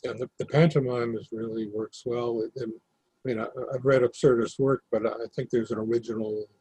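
A man giving thoughtful feedback on a play in a halting, deliberate way. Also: medium pitch, fairly even intonation, warm tone, breathy edge, dry recording, low-quality recording. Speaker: commenter on a play.

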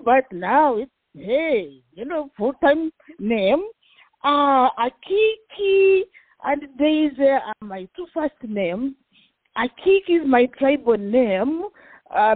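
A woman's voice: high-pitched, breathy edge, dry recording. A Ugandan-accented woman in her sixties, a professor and pastor, introducing herself in a radio interview, speaking expressively with some fillers. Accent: Ugandan, with some American Midwest. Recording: tinny phone line, dry.

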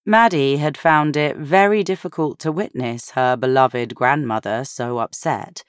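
A woman's voice: a bass voice, a little slow, smooth, dry recording, studio quality. A woman reading scripted fiction as an audiobook narrator.

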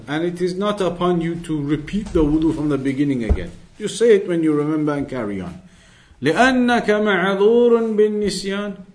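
A man with a slightly high voice teaches a religious class. He reads from a text and explains it deliberately, often restating each point. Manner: deliberate, repetitive explaining, switching between recited Arabic and English. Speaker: Islamic studies teacher reading and explaining a text. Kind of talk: religious lesson, reading Arabic then translating and explaining in English.

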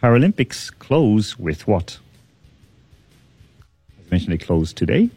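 A man hosting a radio quiz, teasing contestants, laughing often and repeating questions slowly. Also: on the low side, sing-song, dark tone, breathy edge, dry recording, faint music behind. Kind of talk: lively radio quiz banter.

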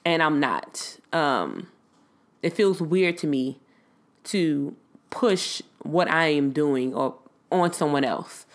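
A woman chats alone into her podcast mic, blunt and unfiltered, cursing freely and calling her listeners y'all. She sounds stuffed up and nasal from a cold. Her voice sits slightly low for a woman. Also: lively intonation, slow pace. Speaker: podcast host. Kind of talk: casual solo podcast monologue.

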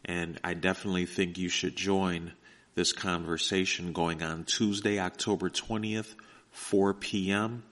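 A man hosting, reading out event announcements in a slow, relaxed way with many fillers. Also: low voice. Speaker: radio host. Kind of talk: casual announcements.

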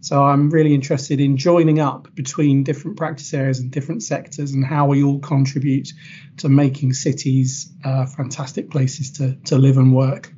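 A man's voice: mid-range voice, level tone, normal speed, mellow, breathy edge, highs cut off. A man in his forties, a podcast guest, speaking modestly with some hesitations. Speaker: law firm partner, guest. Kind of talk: podcast discussion.

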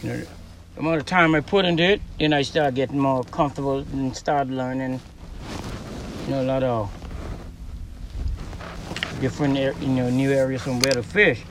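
A man's voice: medium pitch, normal speed, long pauses, recorded from a distance, rough recording. Bahamian guy, fishing guide, chatting easy with lots of "you know", mid voice, bit of outdoor noise. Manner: relaxed, rambling, many "you know" fillers. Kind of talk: recorded interview on a boat. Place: outdoors on the water by boat.

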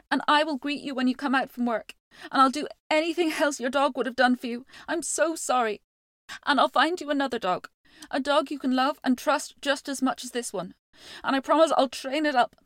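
A woman's voice: sits high, normal speed, no room sound, studio quality, voice pushed up, natural voice. Woman doing a tearful earnest young boy. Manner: pleading, tearful promises.

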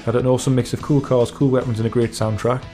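A man's voice: mid-range voice, little pitch movement, fast talker, music in the background. A man co-hosting a podcast, chatting informally and reading out listener messages. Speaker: podcast co-host. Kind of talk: casual chat about retro racing games, reading out listener emails.

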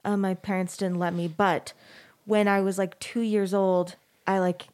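A woman's voice: medium pitch, little pitch movement, unhurried, a little mellow, smooth. A woman in her thirties hosting a podcast, talking in a rambling, casual way with many fillers and restarts. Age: 30s. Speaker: podcast host. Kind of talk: casual chat.

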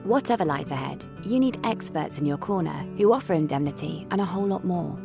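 A woman's voice: fairly low pitch, animated, fairly quick, vocal fry. A woman reading a scripted advertisement in a polished, persuasive voice. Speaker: ad voice-over. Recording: music bed under voice.

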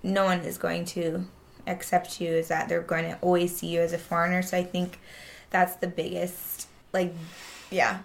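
A young woman from Japan chatting casually as an interview guest, animated and prone to mimicking other people as she talks. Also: on the low side, moderate pace, gravelly. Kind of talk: casual conversation.

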